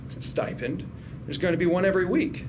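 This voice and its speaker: An actor playing a proud, stubborn older brother, a lawyer who talks in a precise, halting, condescending way, in a TV drama table read. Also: male voice, high voice, lightly acted. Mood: defensive. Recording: noisy table read room recording.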